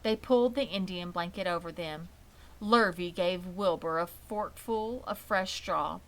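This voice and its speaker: A woman's voice: fairly low pitch, measured pace, fairly bright tone, low-quality recording. Woman narrating a children's storybook. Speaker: audiobook reader.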